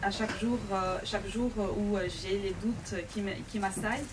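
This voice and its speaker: Woman, Arabic speaker, earnest and measured, many pauses, picked up from across a noisy bookshop. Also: medium pitch, steady tone. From Lebanon. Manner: earnest, measured, pausing often. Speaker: author at a book event. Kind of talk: reflective personal talk. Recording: distant mic with background noise.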